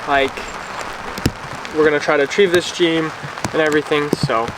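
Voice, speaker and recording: An easygoing young man rambles through his answers, his voice sitting slightly high. He is heard from a distance outdoors, with steady rain and a busy background behind him. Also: moderate pace, crowd noise. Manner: easygoing, rambling with many fillers. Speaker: vlogger. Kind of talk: casual chat. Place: outdoors beside a car in the rain. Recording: distant outdoor camera audio with rain noise. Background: rain and outdoor ambience.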